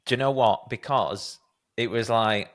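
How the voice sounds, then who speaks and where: A man's voice: on the low side, measured pace. A man hosting a podcast interview, telling candid, self-deprecating stories in a casual conversational way. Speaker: podcast host.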